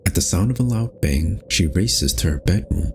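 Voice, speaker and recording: A man's voice: deep voice, animated, moderate pace, a little mellow, a little hiss or hum. A man reading scripted, storybook-style narration for a horror game.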